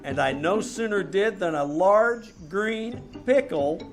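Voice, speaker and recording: A wry private detective played by a man tells his case in a deadpan, wisecracking way. His voice is fairly high, in old-time radio style, with music clearly heard behind. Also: slow pace, full character voice. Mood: wry storytelling. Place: small-town theater stage, live performance.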